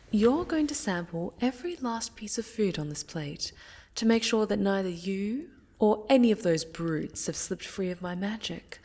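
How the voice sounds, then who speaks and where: A haughty necromancer lady, played by a woman, speaks softly in a fantasy ASMR roleplay. Her voice is breathy and often sinks to a whisper. She takes her time over each phrase, silky and condescending, with sinister sweetness. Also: medium pitch. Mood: menacing, amused. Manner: condescending, silky, menacing, drawn-out. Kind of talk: soft-spoken roleplay ASMR, scripted character acting.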